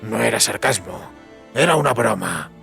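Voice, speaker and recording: A weathered monster hunter with a heavily creaking, gravelly voice. He is laconic and sardonic, delivering lines deadpan in an audio drama over music. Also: on the low side, moderate pace, heavily acted. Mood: wry. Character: dry, sardonic male witcher, weathered monster hunter and lover. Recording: music behind the voice.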